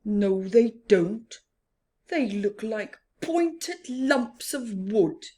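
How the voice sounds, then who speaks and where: A woman's voice: a little high, lively intonation, extremely slow, dark tone. Woman voicing a glum, complaining storybook rhinoceros. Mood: fed up, complaining. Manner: complaining, emphatic. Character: glum self-critical male rhinoceros, wistful and fed up. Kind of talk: children's storybook character voice.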